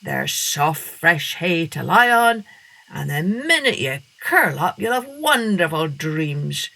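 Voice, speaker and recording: A woman doing a gruff, homely yard dog. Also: low-pitched, very animated, slow pace, sharp tone, no echo, heavily acted. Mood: coaxing. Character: friendly male yard dog, easygoing.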